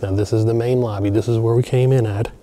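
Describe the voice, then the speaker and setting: A man excitedly narrating a walkthrough of an empty mansion, chatting to viewers as he films. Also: mid-range voice, fast. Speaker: abandoned places explorer. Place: large empty mansion, indoors.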